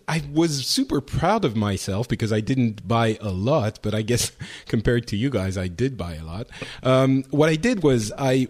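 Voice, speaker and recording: A man's voice: medium pitch, a little mellow. A man co-hosting a gaming podcast in casual chat, rambling with many fillers and restarts. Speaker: podcast co-host.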